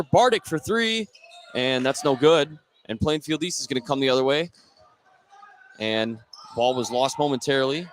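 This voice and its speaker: A man's voice: medium pitch, fairly bright tone. American man calling basketball over gym noise. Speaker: play-by-play announcer. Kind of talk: live basketball radio commentary. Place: indoor high school basketball gym. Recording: live gym noise behind the voice. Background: gym ambience, bouncing basketball.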